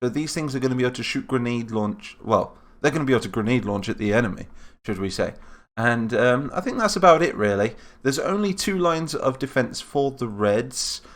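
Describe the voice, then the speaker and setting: A man's voice: mid-range voice, expressive, a little fast. A man with a cold and a nasal voice, giving chatty, rambling commentary over a battle-simulation game and correcting himself as he goes. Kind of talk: casual gameplay commentary.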